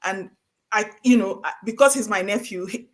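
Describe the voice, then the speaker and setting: A woman's voice: mid-range voice, normal speed, a bit bright. A middle-aged woman from Nigeria, hosting a live-streamed conversation and telling stories in an earnest, emphatic way. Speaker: host of a live session.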